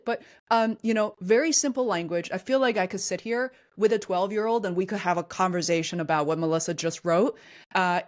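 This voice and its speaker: A woman hosting an interview podcast, asking long, enthusiastic, rambling questions full of "you know". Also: mid-range voice. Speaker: podcast host.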